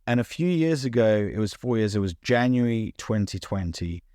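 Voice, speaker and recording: A man's voice: on the low side, animated, dark tone, no echo, studio quality. A young man who grew up in the United Kingdom, talking earnestly in an interview and often restarting his sentences. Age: young adult. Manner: earnest, fast, stumbles and restarts. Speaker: podcast guest, social media founder. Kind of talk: interview conversation.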